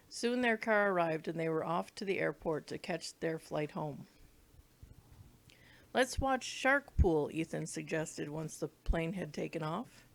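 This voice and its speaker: A woman's voice: low-pitched, creaky. A woman reading a children's book aloud, now and then stumbling over words and rereading them. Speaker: read-along reader.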